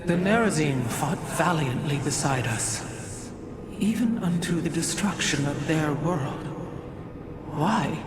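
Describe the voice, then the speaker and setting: A woman's voice: low-pitched, expressive, slow, background noise. A woman playing a proud, ancient alien keeper of history in a game, with formal, solemn, archaic phrasing. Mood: contrite. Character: proud, ancient female alien preserver of history, stern and devout. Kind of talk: scripted game cutscene dialogue.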